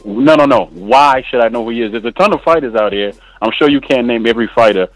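A man's voice: mid-range voice, quick pace, dry recording, soft background music, poor audio. A man calling in to a show, arguing back defensively and insistently. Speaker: caller. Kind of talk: heated argument.

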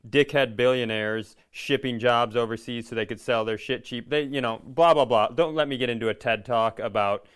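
A man in casual comic banter as a podcast co-host, opinionated and quick to swear. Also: mid-range voice, fairly bright tone, smooth. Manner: opinionated, profane. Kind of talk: casual comedic banter and ranting.

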